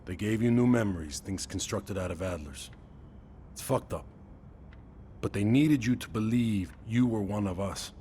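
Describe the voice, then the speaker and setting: Low, mellow, blunt male operative by an actor. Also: normal speed, slight background noise, lightly acted. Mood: grim, frank. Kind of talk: dramatic video game acting.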